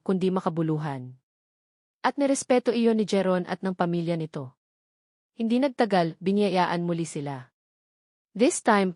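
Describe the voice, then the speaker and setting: A woman with a Filipino accent reads a scripted romantic story as an audiobook narrator, in a measured storytelling delivery. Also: medium pitch, darkish tone, no room sound, clean studio sound.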